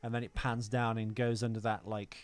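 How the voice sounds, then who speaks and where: A man's voice: fairly low pitch, flat delivery, a little fast. A man co-hosting a podcast, casually discussing films. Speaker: podcast co-host.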